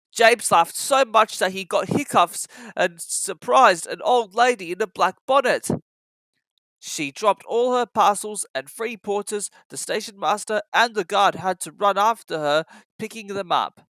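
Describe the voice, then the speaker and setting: A storyteller narrates a children's tale from a script. The voice is bright and animated, with middling pitch that moves freely. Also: no echo.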